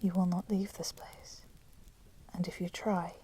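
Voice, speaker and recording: A woman playing a coolly menacing, possessive female vampire in a whispered ASMR roleplay, with soft, breathy delivery and formal, deliberate phrasing. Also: on the low side. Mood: controlled, possessive. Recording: steady background noise, crackling fire sound. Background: crackling fire.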